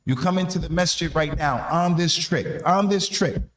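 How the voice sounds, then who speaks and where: A man's voice: fairly high pitch, moderate pace, fairly bright tone, slight background noise. A man with an American accent giving an informal sermon, emphatic and colloquial, repeating key phrases and posing rhetorical questions. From North America. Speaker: preacher giving a religious reminder to youth. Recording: echoey room.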